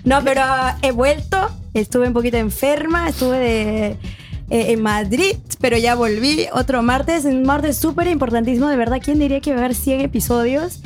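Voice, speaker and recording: A high-voiced woman chats playfully as a radio co-host. She jokes about her own voice and talks easily. Faint music sits behind her. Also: moderate pace. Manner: playful, chatty. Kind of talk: casual radio chat.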